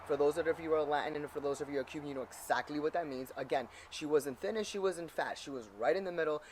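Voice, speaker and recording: A man telling a personal anecdote in an animated way, acting out the dialogue as he goes. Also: fairly high pitch, quick pace, slight background noise. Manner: animated storytelling, acts out dialogue. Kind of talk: casual anecdote.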